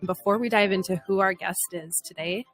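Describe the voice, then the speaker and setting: A woman's voice: mid-range voice, brisk, faint music behind. A woman co-hosting a studio podcast in casual chat, laughing often and restarting her sentences. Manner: giggly, chatty, trails off and restarts often. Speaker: podcast co-host.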